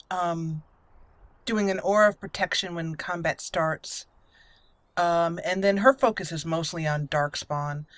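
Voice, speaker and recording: A woman with a slightly low voice hosts a gameplay video. She comments casually and tells the story of her roleplay as she goes. She thinks aloud, hesitating between phrases. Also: a bit bright, no room sound. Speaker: gameplay host.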